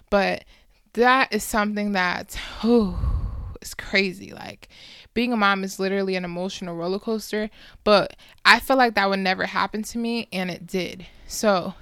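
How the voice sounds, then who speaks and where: A woman's voice: medium pitch, fairly bright tone, smooth, no room sound, studio quality. A woman in her early twenties hosting a solo podcast, chatting informally and casually with slangy phrasing. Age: early 20s. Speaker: podcast host.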